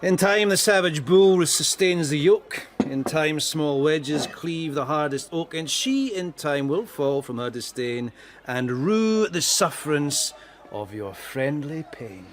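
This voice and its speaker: An actor playing a smooth, scheming young Spanish nobleman in a classical verse radio drama. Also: on the high side, expressive, takes it slowly, a little hiss or hum. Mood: smooth, persuasive.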